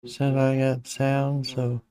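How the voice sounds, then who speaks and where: A middle-aged man with a slightly raspy voice, hosting a casual live-streamed Linux tutorial, rambling with fillers and restarts. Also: medium pitch, completely flat delivery, takes it slowly, dark tone. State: slightly raspy, clearing his throat.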